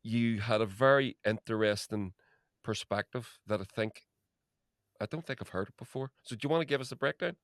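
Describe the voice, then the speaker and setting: A man hosting a podcast interview, enthusiastic and quick to praise, often stumbling over his words. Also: medium pitch, a bit bright. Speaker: podcast host. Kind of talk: conversational interview.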